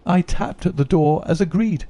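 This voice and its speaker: Male reader as guilty young noblewoman, earnest, confessional, mellow tone. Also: sits high, normal speed, pitched above the performer's own voice. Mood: remorseful.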